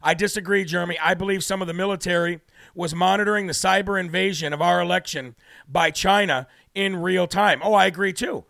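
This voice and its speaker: A man's voice: sits high, a little fast, vocal fry, a bit bright, no room sound. A man from New Hampshire hosting a live political talk show, brash and preachy, losing his voice as he reads out viewer comments.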